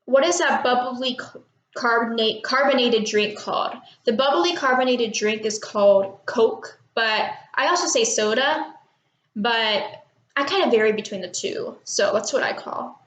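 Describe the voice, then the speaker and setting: A woman's voice: on the high side, measured pace, fairly bright tone. Chatty 18-year-old woman, echoey room. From Texas, United States. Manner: chatty and unsure, many ums and little laughs. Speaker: vlogger doing an accent tag. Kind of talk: casual talk to camera, reading questions and word list.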